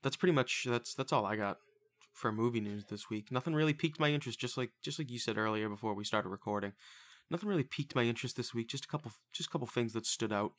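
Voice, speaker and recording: A man's voice: mid-range voice, fast talker, fairly bright tone. A man in his twenties hosting a casual podcast, loose and profane, rambling through movie news. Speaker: podcast host.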